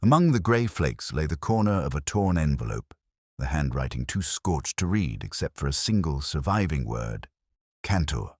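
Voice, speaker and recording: A man reading a scripted first-person detective story in a measured, literary, reflective manner. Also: a bass voice, dry recording, studio quality. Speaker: narrator.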